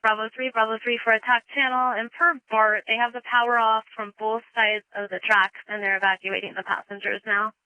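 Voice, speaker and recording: A woman's voice: on the high side, flat delivery, fairly quick, few pauses, pushed and bright, clean tone, no echo, low-quality recording. A woman working as a fire dispatcher, speaking in clipped procedural phrases over emergency radio. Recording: dry emergency radio transmission.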